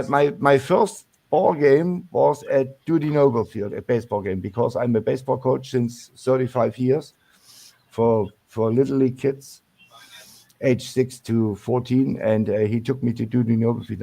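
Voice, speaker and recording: An older German man calling in to a sports show, speaking English with a German accent in halting, restarting phrases. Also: medium pitch, steady tone, creaky, dark tone. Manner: halting, many restarts and fillers. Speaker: caller. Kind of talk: casual call-in chat.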